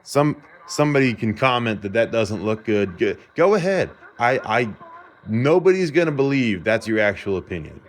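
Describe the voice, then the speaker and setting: A man's voice: mid-range voice, very animated, moderate pace. A man giving a casual, rambling demonstration in an informal, self-assured way, like a hobbyist vlogger. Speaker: vlogger showing a repaired vintage car radio. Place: repair shop, indoors.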